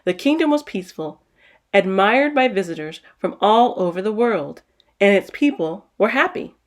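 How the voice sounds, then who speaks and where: A woman's voice: mid-range voice, animated, normal speed. A woman narrating a children's picture book as a storytime host, reading expressively.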